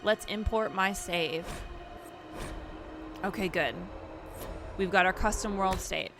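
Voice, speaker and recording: Chatty woman gamer over loud music. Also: mid-range voice, slow pace. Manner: chatty, thinking aloud. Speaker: gaming video host. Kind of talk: casual let's-play commentary.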